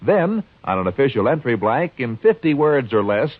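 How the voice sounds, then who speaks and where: American male pitchman, mid-range voice, very lively intonation, dry, tinny vintage radio ad. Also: moderate pace, dry recording. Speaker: commercial announcer. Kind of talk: brisk instructional 1950s radio ad read. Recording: tinny, narrow-band old radio recording.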